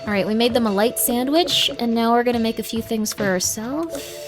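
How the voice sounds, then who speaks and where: A woman's voice: on the high side, fairly quick. A woman chatting casually and playfully on a livestream, reacting aloud as she plays a game. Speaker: streamer. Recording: prominent game music behind. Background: video game music.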